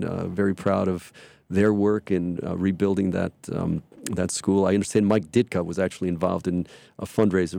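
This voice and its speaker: A middle-aged man speaking for his church to listeners, rambling and filling pauses with many ums and uhs. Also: sits low, creaky, dark tone, no room sound. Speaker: church representative. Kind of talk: speaking to listeners, promotional talk.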